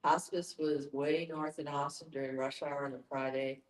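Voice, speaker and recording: Female participant, plain-spoken personal account, monotone delivery, roomy echo on the recording. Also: deep voice, normal speed. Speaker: discussion participant. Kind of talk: recounting personal experience. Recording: echoey room sound.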